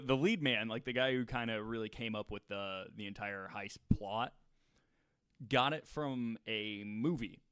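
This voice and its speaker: A man co-hosting a podcast, telling a story in a casual, halting, jokey way and often chuckling as he talks. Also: fairly low pitch, clear, resonant tone, no echo, clean studio sound. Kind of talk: casual comedic storytelling chat.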